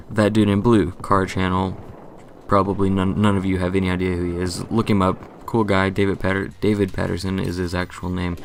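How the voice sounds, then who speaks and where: A young man who hosts a gaming channel, talking through a casual channel update in a monotone voice, rambling, correcting himself and joking along the way. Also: low-pitched, gravelly, slight background noise.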